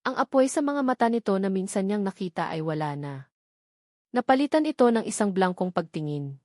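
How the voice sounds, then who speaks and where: A Filipino woman narrates a scripted drama with measured, emotive phrasing. Her voice sits at a middle pitch for a woman. The sound is very dry, with hardly any room around it. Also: big pitch swings, a little mellow, dry recording. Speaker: story narrator.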